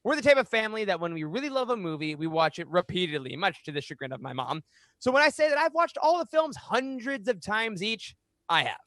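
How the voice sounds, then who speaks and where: A man in his thirties with a notably high voice presents a fan podcast. He speaks emphatically and with animation. The sound is dry and close on a studio mic. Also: sing-song, fairly quick, fairly bright tone, no room sound. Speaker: podcast host. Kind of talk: reading aloud and hosting a fan podcast. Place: small home room, indoors. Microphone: close studio microphone on boom arm.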